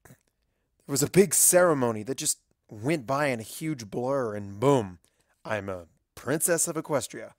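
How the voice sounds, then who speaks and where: A woman's voice: a bass voice, animated, a little slow, darkish tone, no room sound. An anxious young cartoon pony princess played by a woman, bookish and wry. She narrates her own fan fiction tale with fretful drama, in a very dry, close recording. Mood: flustered, overwhelmed. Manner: wry, fretful, dramatic first-person storytelling. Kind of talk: fan fiction audio reading in character.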